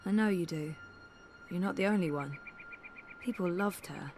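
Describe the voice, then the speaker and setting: A woman's voice: lowish voice, moderate pace, a little mellow, a little hiss or hum, close to an everyday voice. A woman playing a young adventurer in a video game, thoughtful and determined, with a sincere, understated, natural delivery. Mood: gentle, regretful. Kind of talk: video game character acting.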